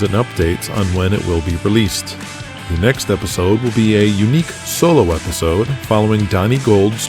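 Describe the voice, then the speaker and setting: A man narrates an audio drama in a steady, announcing way. His voice sits fairly low, and music plays under it. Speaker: writer and narrator. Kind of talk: scripted narration and podcast outro.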